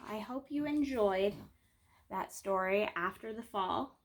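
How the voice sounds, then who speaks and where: A woman teacher reading a children's picture book aloud in an expressive, deliberate storytelling manner with dramatic pauses. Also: mid-range voice, background noise.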